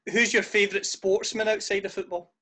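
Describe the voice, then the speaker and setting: A man's voice: high-pitched, little pitch movement, brisk, sharp tone. A man hosting an interview, asking questions in a friendly, upbeat way. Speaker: interviewer, podcast host.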